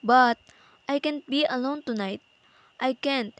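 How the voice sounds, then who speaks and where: A woman's voice: high-pitched, a little slow, a bit bright, dry recording. A woman playing an anxious, demanding bedridden wife in an amateur radio play, fretful, indignant and dramatic. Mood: distraught, pleading.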